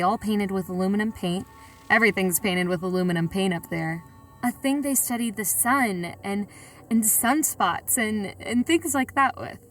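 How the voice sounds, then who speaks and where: A woman's voice: mid-range voice, distant mic, natural voice. An actress as a plain-spoken woman recalling an uncanny outing in an audio drama. She is colloquial, musing and confiding, a little off the mic over faint music. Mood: reflective, uneasy. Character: plain-spoken, reflective female sightseer telling her uncanny story. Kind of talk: first-person audio drama narration.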